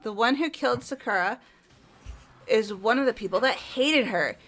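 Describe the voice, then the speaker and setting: A woman's voice: on the high side, expressive, moderate pace, fairly bright tone. A woman voicing a blunt, hot-headed young female athlete who insists on her accusations. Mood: accusing. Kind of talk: fan voice acting of a game.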